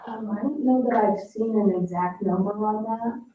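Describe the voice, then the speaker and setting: A woman staff member explaining details at a public meeting, with a measured, halting delivery. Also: mid-range voice, mellow. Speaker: staff member briefing a board. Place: indoor town board meeting room. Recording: roomy, distant, muffled meeting-room microphone with noise.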